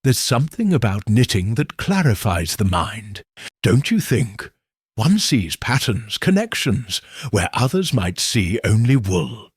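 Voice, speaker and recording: Man voicing an elderly woman sleuth, shrewd, gentle, wry, slow, husky. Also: mid-range voice, big pitch swings, no echo, clean studio sound, exaggerated voice.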